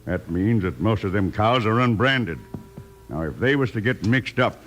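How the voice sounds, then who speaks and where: Bullying Western villain, low gruff voice, American Western accent, snarling and domineering, old-time radio drama. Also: male voice, animated, moderate pace, a bit bright. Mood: scheming. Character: bullying, scheming outlaw rancher boss.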